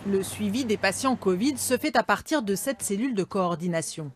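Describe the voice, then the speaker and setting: A woman's voice: medium pitch, expressive, moderate pace, noisy background. A woman reporter reading scripted narration for a television news report.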